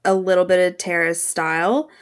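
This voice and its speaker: A woman's voice: lowish voice, fairly even intonation, normal speed, smooth. A woman speaking as a podcast panelist in casual, conversational chat.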